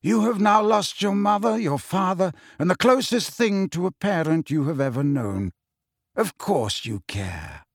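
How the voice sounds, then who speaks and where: A man voicing a calm, kindly, grave old wizard headmaster in an audiobook, speaking in a quiet, measured way. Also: sits high, vocal fry, no room sound, studio quality, pitched above the performer's own voice, full character voice. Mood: calm, firm. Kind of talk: audiobook character voice.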